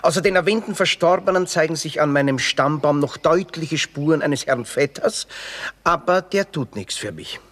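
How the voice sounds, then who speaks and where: Casting: witty, bitter young Austrian drifter, higher-pitched male voice, florid theatrical delivery with dry asides, radio comedy. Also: expressive, normal speed, a bit bright. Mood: mock-learned, bitter. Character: witty, bitter red-haired young drifter, grandiloquent and sardonic. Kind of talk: classic Viennese stage comedy radio acting.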